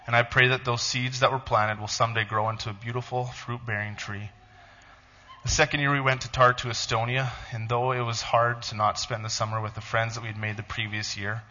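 A man, a pastor, reading a blog aloud to his church in a halting, emotional voice. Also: mid-range voice, sharp tone, highs cut off. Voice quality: tearful, halting.

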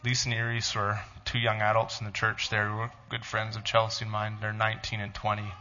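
A man, a pastor, reading a blog aloud to his church in a halting, emotional voice. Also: lowish voice, completely flat delivery, bright, forceful tone, dull audio. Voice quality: tearful, halting.

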